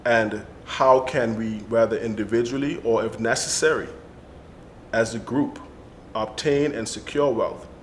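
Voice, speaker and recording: Earnest man in an echoey, rumbling room. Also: steady tone, measured pace, a little hiss or hum. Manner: earnest, builds emphasis with repetition. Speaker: panelist. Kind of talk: discussion.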